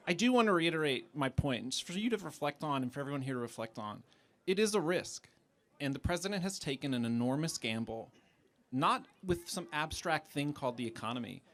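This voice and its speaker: A man speaking as an academic in a live public debate, insistent and challenging in how he presses his questions. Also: mid-range voice.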